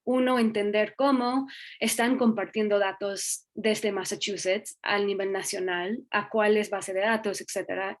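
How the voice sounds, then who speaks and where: Measured woman advocate explaining. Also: mid-range voice. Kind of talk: workshop discussion.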